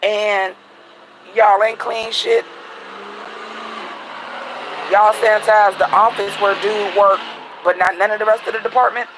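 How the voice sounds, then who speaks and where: High-voiced raspy man ranting. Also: little pitch movement, unhurried, long pauses, background noise. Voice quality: a little raspy. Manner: blunt, profane, ranting. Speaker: vlogger. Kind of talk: casual venting monologue. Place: at home at night, in the dark.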